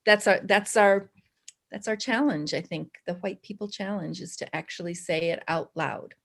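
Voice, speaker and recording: A woman talking casually and haltingly in a reflective group discussion on a video call. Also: fairly low pitch, gravelly. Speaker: discussion participant.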